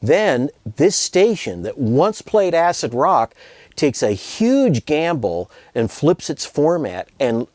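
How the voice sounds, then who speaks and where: A man's voice: on the high side, darkish tone, no echo. A man presenting a scripted promotional narration as the person behind a music archive project. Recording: music bed under voice.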